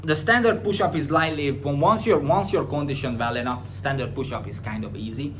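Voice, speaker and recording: Blunt trainer with a Hungarian accent. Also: male voice, a little hiss or hum. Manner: blunt and opinionated, rising loud and high when ranting; drops to a quieter, slower tone when explaining. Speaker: personal trainer answering viewer questions. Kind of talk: casual Q&A monologue.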